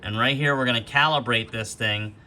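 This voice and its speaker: Bright, forceful-voiced man demonstrating gear. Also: mid-range voice, a little fast. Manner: explanatory, step-by-step instruction. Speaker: host of a gear demonstration video. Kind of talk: unscripted product walkthrough.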